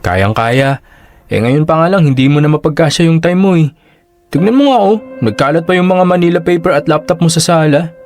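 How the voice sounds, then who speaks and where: A man's voice: fairly high pitch, animated, a little fast, faint music behind. A man playing a young younger brother who works abroad and keeps coaxingly pushing practical advice, in a radio drama. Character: younger brother working abroad in a call center.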